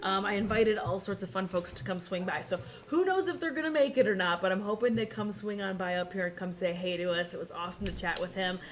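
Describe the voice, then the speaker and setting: A woman's voice: mid-range voice, quick pace, hardly any pauses. A woman hosting a casual behind-the-scenes broadcast from a race control tower, talking in an upbeat, chatty way and laughing often.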